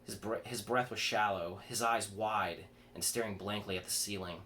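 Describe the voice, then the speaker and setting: Male horror reader, lower-pitched, some stumbles over words, faint noise behind the voice. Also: fairly even intonation, moderate pace, sharp tone. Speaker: author reading own fiction aloud. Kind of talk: horror story reading. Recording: clear background noise.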